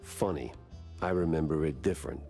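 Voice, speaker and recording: An actor playing a world-weary, hard-boiled mouse detective with an American accent in a noir cartoon game, wry, deadpan and terse. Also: male voice, a bass voice, fairly quick, faint music behind. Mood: weary, brooding.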